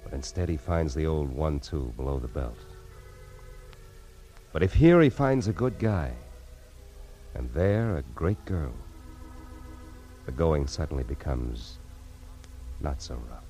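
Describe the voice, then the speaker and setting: An actor playing a world-weary, hard-boiled private detective with an American accent, speaking deliberately in 1940s radio noir style. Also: male voice, very deep voice, very animated, mellow, soft background music, heavily acted. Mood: reflective.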